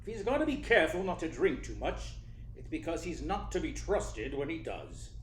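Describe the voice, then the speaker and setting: An actor playing a jovial, sly, fat criminal schemer in a radio play, effusive and courtly in manner. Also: male voice, on the high side, sing-song. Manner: effusive, courtly, deliberate, chuckling speech. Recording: roomy echo, faint noise.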